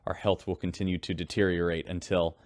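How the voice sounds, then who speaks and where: An actor playing a folksy spaceship radio host, delivering scripted news reports in an informal, wry announcer style. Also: male voice, deep voice, flat delivery, fairly quick, darkish tone, no echo, close to an everyday voice. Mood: grave, sincere. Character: folksy male radio newscaster aboard a spaceship.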